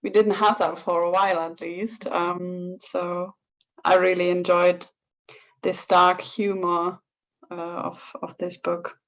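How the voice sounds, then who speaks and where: A woman hosting a book club's online talk, speaking slowly with long pauses. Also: lowish voice, level tone, poor audio. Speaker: book club host. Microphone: video call.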